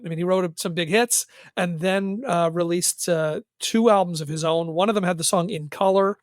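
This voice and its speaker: A man's voice: high voice, no room sound, studio quality. A man co-hosting a music podcast, talking in a casual, wry and enthusiastic conversational way. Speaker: podcast co-host and music reviewer.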